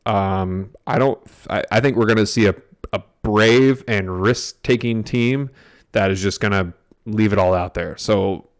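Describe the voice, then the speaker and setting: Relaxed low-voiced man talking soccer. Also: unhurried. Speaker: podcast co-host. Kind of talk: casual sports chat.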